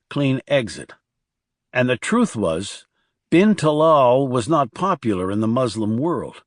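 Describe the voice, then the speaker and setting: A man's voice: mid-range voice, no echo, clean studio sound. A man reading a thriller audiobook as a scripted narrator.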